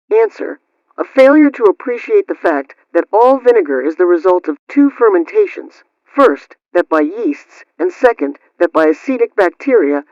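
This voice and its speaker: A woman's voice: medium pitch, darkish tone, no room sound, muffled recording, lightly acted. A woman playing a prim 1920s radio homemaking host, reading household advice in a formal, instructional way over a band-limited old-radio sound.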